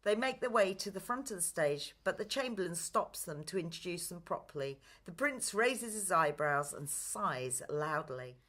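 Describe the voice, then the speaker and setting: Woman, radio play narrator, very animated intonation, scripted stage directions. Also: lowish voice, normal speed, hardly any pauses.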